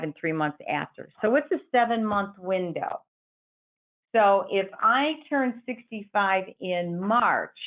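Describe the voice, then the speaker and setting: A woman, an insurance advisor, patiently explaining Medicare options in an interview and quoting her clients. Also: lowish voice. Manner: patient, explanatory, quotes clients in their own words. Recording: tinny phone-like line.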